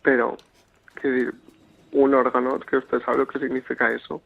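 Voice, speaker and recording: Creaky young Spanish man on dull phone line. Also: mid-range voice, level tone, moderate pace. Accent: Spanish from Spain. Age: young adult. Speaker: prank call victim, journalism student. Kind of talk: casual reactive phone conversation. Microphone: telephone.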